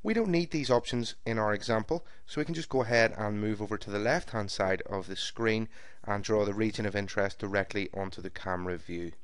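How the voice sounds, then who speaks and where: Guy with a lowish voice doing a step by step software tutorial, dry close mic. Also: brisk, clean tone, no echo. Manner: steady, methodical step-by-step explanation. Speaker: software trainer. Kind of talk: screen-recorded software tutorial. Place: voice-over recorded for a screen capture.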